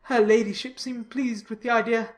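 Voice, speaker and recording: A woman's voice: a little high, level tone, normal speed, pitched above the performer's own voice, close to an everyday voice. A woman voicing a pompous, self-important and obsequious clergyman in a dramatized classic novel, speaking in wordy, formal sentences. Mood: fawning, self-satisfied.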